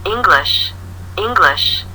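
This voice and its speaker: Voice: medium pitch, animated, takes it slowly, bright, forceful tone, slight background noise, low-quality recording. A textbook audio narrator reading school subjects aloud, saying each word three times in a listen-and-repeat drill.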